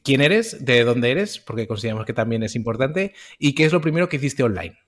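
A man's voice: mid-range voice, expressive, fairly quick. Friendly guy hosting a podcast, loose casual questions. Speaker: podcast co-host.

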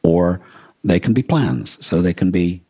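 Male podcast co-host, dark husky tone in a dry close recording, reflective and halting. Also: low-pitched, expressive, no room sound. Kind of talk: reflective conversational discussion.

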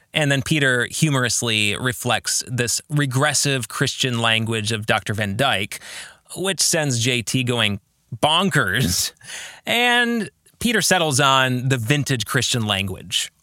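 Expressive man, slow and bright-voiced. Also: medium pitch, no echo, clean studio sound. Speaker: podcast host and author discussing own novel. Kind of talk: reflective book discussion monologue.

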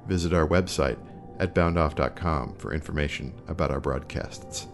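A man's voice: a bass voice, moderate pace, over music. A man working as a podcast announcer, reading scripted introductions.

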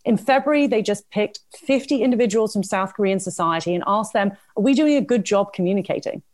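Woman, British accent, rapid pace, medium pitch, articulate, explanatory, conversational panel talk. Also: darkish tone. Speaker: guest expert on public health communication.

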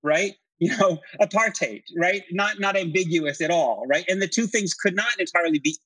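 A man's voice: high-pitched, normal speed, fairly bright tone, husky, no echo, low-quality recording. Discursive man in a dry, close recording. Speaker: interview guest, writer and commentator. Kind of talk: conversational political and historical explanation.

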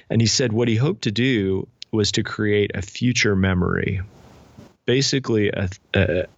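A man's voice: deep voice, creaky, dry recording. A man speaking as an interview guest, explaining ideas in a thoughtful, conversational way with illustrative asides. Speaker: guest author and pastor. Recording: dull, lo-fi, dry sound.

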